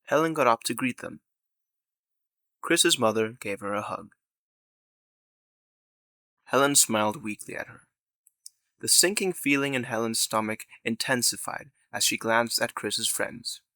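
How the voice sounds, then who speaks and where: A man's voice: medium pitch, lively intonation, unhurried, long pauses, sharp tone, no echo. A man reading scripted narration for an audio drama as its narrator.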